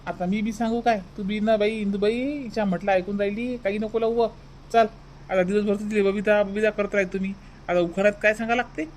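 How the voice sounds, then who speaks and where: High-voiced village husband played by a man. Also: steady tone, a little fast, a little mellow, slight background noise, close to an everyday voice. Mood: grumbling. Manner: reluctant, grumbling, folksy. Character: grumbling, henpecked village husband. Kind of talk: comedy cartoon dialogue.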